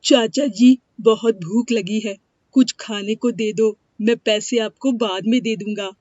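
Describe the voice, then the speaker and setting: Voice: medium pitch, steady tone, a little slow, darkish tone, no echo, natural voice. Performer acting a humble, pleading poor cobbler boy. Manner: polite, deferential, plaintive. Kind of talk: animated moral-story dialogue. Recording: dry, dull lo-fi studio sound.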